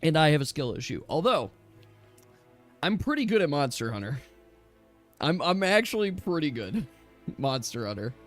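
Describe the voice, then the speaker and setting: Man, slightly high-pitched, casual gamer chat, animated and self-correcting, faint background music. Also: moderate pace, long pauses. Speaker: host of a tabletop gaming stream.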